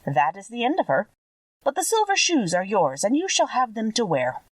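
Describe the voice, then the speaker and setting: Woman voicing a kindly old witch, swooping pitch. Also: huge pitch swings, moderate pace, no room sound, recorded from a distance. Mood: kindly, solemn. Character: kindly little old good witch, solemn and helpful. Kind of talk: audiobook character voice.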